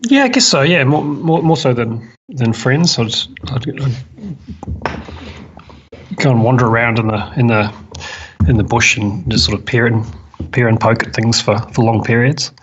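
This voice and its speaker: A man's voice: mid-range voice, lively intonation, normal speed, a little hiss or hum. A man with a New Zealand accent chatting as an interview guest, hesitant and thoughtful, often repeating words. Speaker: podcast guest, permaculture designer. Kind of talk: casual interview chat. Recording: dull lo-fi audio.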